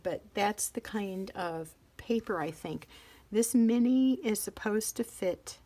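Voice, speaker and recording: A chatty middle-aged woman showing off her planners in a relaxed vlog. She rambles, loses her thread and picks it up again as she handles her notebooks, with a voice of ordinary pitch. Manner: chatty and rambling, interrupts herself while handling items. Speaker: planner vlogger. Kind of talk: casual show-and-tell chat.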